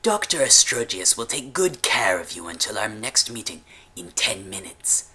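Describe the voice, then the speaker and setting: A man voicing an eccentric, grandiose villain-like leader, giving speeches with dramatic pauses in an audio story. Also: medium pitch, big pitch swings, bright, forceful tone, breathy edge, full character voice. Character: eccentric supervillain-like male benefactor, theatrically grandiose.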